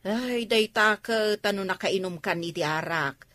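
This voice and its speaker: Radio drama mother woman actor, mid-pitched, reproachful and doubting, quick to question and scold. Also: a bit bright, lightly acted. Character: skeptical, scolding mother of a marriageable son.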